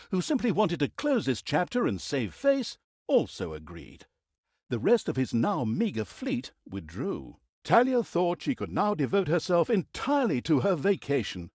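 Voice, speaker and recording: Animated, wry fantasy game narrator. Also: male voice, fairly high pitch, no room sound, clean studio sound. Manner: arch, droll, theatrical. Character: wry, theatrical male storyteller of an evil fantasy tale. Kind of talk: comic fantasy storybook narration.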